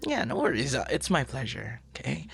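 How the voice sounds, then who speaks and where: A man's voice: medium pitch, huge pitch swings, moderate pace, fairly bright tone, faint music behind, exaggerated voice. A man playing a shy, dorky young man in an ASMR boyfriend roleplay, stammering and bashful, smitten with a stranger. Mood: nervous, hopeful. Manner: stammering, bashful, awkward, breathy laughs and sighs.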